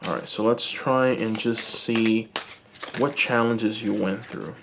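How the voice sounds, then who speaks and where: A Cuban American man giving a calm, reassuring tarot reading, speaking slowly and soothingly to his viewers. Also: lowish voice, noisy background. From United States. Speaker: tarot reader. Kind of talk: pick-a-card tarot reading.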